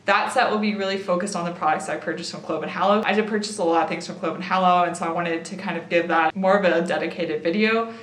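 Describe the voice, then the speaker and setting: A young woman vlogger in a chatty makeup haul. Her voice is slightly raspy and tired after a late night, and she thinks aloud while she applies makeup. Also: on the low side, fairly even intonation, quick pace, few pauses, fairly bright tone, roomy sound. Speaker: beauty vlogger. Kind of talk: casual makeup haul and try-on.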